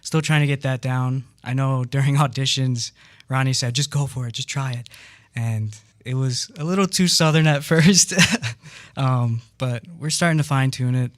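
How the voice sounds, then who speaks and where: Man, community theatre actor, radio guest, relaxed, hesitant, many ums. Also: mid-range voice, moderate pace. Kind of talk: casual radio interview.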